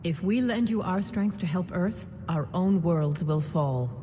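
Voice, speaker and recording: A woman's voice: measured pace, mellow, natural voice. An actress playing a composed, grave female alien councilor in a game, speaking formally and diplomatically. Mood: grave, regretful. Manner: formal, solemn. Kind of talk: dramatic game dialogue. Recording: reverberant chamber, faint rumbling noise.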